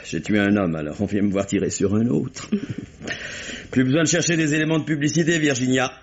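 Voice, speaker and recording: An actor playing a disillusioned middle-aged stage actor who speaks in a weary, curt, sardonic way, in an old radio play. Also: male voice, medium pitch, brisk, natural voice. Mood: dry. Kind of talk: 1950s French radio drama acting. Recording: muffled old radio broadcast with faint hiss.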